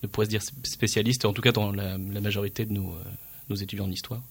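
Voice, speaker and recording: A man, a stage actor, answering an interview question haltingly and thoughtfully, with many fillers. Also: on the low side, flat delivery, breathy edge.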